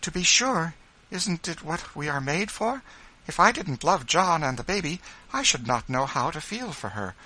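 A little young Quakeress from a storybook, played by a man. The voice is high, bright and swooping, full of eager warmth. It urges excitably in old-fashioned speech. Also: moderate pace, few pauses, breathy edge, full character voice, rough recording. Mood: eager, pleading. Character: little young Quaker wife, bubbly, eager, warm-hearted. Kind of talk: audiobook character voice, storybook dialogue.

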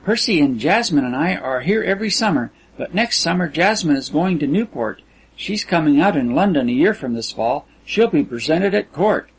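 A man's voice: fairly high pitch, expressive, moderate pace, slightly rough, poor audio. A man voicing a sweet, naively vain rich teenage girl with earnest, artless chatter, reading from a story. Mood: proud, chatty.

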